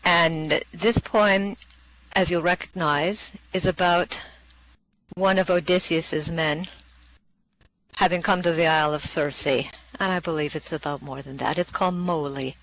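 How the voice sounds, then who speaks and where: A poetry performer reading verse and giving brief introductions, with measured, expressive delivery. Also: medium pitch, poor audio.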